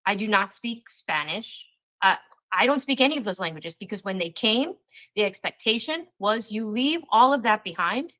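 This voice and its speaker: Woman host talking it through, earnest and emphatic, mid pitch, kinda dull lo-fi audio. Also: animated, normal speed, bright, forceful tone. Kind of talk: casual chat. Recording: slightly dull lo-fi recording.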